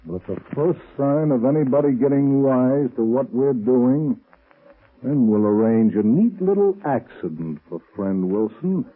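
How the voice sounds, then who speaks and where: An actor playing a domineering, worried racketeer boss, curt and blustering, in an old 1930s radio drama recording. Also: male voice, mid-range voice, expressive, a little slow, breathy edge. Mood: scheming, uneasy. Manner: curt, blustering, hard-boiled. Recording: muffled, band-limited old radio recording.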